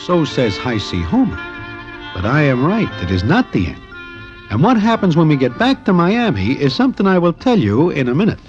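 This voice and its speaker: High-voiced, animated gangster sidekick. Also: male voice, fairly quick. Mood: wry storytelling. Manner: present-tense storytelling, formal slangy phrasing. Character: nervous sidekick and slangy storyteller of gangster tale. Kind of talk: 1940s radio drama acting and narration. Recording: old radio broadcast with loud music.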